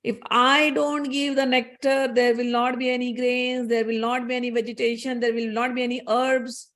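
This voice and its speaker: A proud male moon god who lectures and scolds the other gods, as a woman storyteller acts him out. He speaks high and haughtily. It sounds like a storybook myth. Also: little pitch movement, moderate pace, a bit bright, natural voice. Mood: stern.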